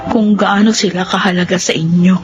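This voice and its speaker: A woman's voice: medium pitch, fairly even intonation, a little fast, dull audio, over music, lightly acted. An actress with a Filipino accent playing a remorseful daughter telling her life story in an earnest, reflective way for radio drama. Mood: earnest, sorrowful. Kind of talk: Filipino radio drama first-person narration.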